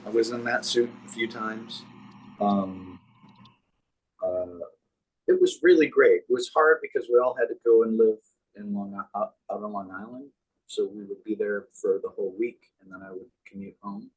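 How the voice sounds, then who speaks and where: Deep, husky-voiced man reminiscing. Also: normal speed, poor audio. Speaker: guest, puppeteer. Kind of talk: casual interview chat, reminiscing.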